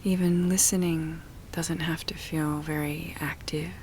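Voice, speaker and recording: A woman guiding a relaxation meditation in a soft, breathy, often near-whispered voice, soothing and full of long pauses. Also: low-pitched, flat delivery, a little hiss or hum. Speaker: yoga nidra meditation guide.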